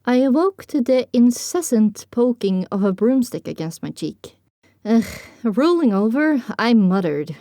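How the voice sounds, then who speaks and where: A woman's voice: fairly high pitch, big pitch swings, unhurried, warm tone, no room sound, clean studio sound. A woman narrating an audio drama in the first person as a sensitive young pony filly, in a scripted storytelling style. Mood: indignant.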